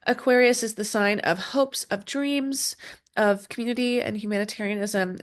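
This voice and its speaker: A woman hosting an astrology podcast, speaking loosely with many ums and restarts, a little sniffly and congested from a cold. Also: medium pitch. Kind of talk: casual solo podcast talk. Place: indoor room with dogs. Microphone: desk setup with camera and screen share.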